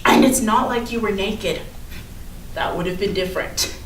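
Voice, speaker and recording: A woman's voice: medium pitch, normal speed, lightly acted. A woman playing a popular, defensive teenage schoolgirl in a staged play reading, with chatty, casual, hedging delivery. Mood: confiding, self-excusing. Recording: echoey room from far off, noisy.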